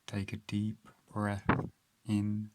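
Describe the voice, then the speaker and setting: A man narrating a guided meditation as a guide, calm and gentle, with measured phrases and long pauses. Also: lowish voice, noisy background.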